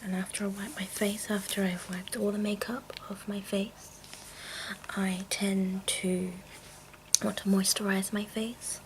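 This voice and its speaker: A woman speaking in a breathy whisper in an ASMR style, softly describing things and repeating gentle words. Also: mid-range voice, flat delivery, slight background noise, poor audio. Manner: slow, repeats soft words as trigger sounds. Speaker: ASMR video creator. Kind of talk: ASMR, softly narrating personal makeup items.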